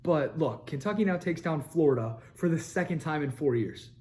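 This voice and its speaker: A young man hosting a sports show, ranting with energy and repeating his points for emphasis, his voice a little raspy from screaming. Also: on the high side, lively intonation, moderate pace, mellow. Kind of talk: fired-up sports commentary to camera.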